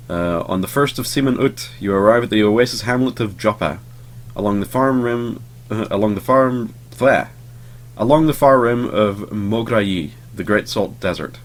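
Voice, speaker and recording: Deep-voiced man, drowsy gaming ramble. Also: moderate pace. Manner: rambling and sleepy, lots of ums, swears offhandedly. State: just over a cold, voice a bit off. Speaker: let's play host. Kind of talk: casual gameplay commentary.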